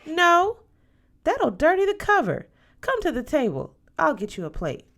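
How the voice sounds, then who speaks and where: A woman's voice: sits high, huge pitch swings, a little slow, fairly bright tone, pitched above the performer's own voice, full character voice. An affectionate older godmother from a storybook, acted out by a woman reader. She is proud and a little fussy, and she explains things firmly and with emphasis, like someone used to giving advice.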